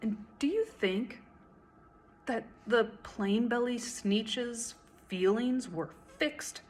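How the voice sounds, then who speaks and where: A woman reciting a rhyming children's story in an expressive, theatrical way. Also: fairly high pitch, slow, slight background noise.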